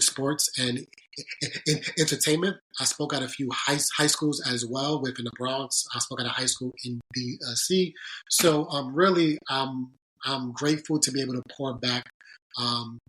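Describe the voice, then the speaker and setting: Earnest, halting man telling his story. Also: medium pitch, pushed and bright, poor audio. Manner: earnest, halting, frequent restarts. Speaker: sports agent, podcast guest. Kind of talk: reflective interview storytelling.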